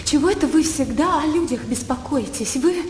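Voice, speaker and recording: A woman acting a spirited, blunt young country gentlewoman in a radio drama, lively, impulsive and mocking. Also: sits high, normal speed, slight background noise. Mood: irritated, defiant. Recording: echoey studio reverb, music underneath.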